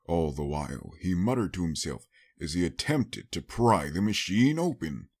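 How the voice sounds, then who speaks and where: A man's voice: deep voice, animated, normal speed, darkish tone, husky. A man reading a scripted story as an audiobook narrator, in a dramatic storytelling style.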